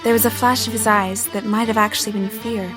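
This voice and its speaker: A woman's voice: medium pitch, level tone, brisk, music in the background, close to an everyday voice. A woman playing an earnest, anxious young heroine in a first-person audio drama, with a dramatic delivery. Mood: tense, reflective. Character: anxious young woman heroine, resourceful, narrating her own mystery.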